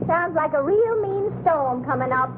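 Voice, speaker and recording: Fussy folksy country wife, 1930s radio drama, high voice, really tinny old recording. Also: female voice, animated, moderate pace. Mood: fretful, coaxing. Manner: folksy, insistent. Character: fretful, soft-hearted rural wife, nagging her husband. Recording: very noisy, narrow-band 1930s radio recording.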